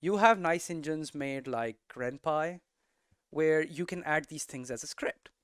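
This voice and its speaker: A man giving an informal explanatory lesson as a presenter, posing questions and answering them himself in halting, emphatic phrases. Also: on the high side, clear, resonant tone, dry recording, clean studio sound. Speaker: presenter of a game development explainer series.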